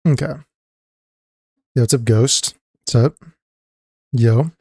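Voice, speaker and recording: Male streamer, slightly gravelly and dry, mid-pitched, loose rambling chat to viewers. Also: takes it slowly, mellow, no room sound. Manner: rambling, greets chat members, trailing fillers. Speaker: game streamer. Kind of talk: casual live-stream chat.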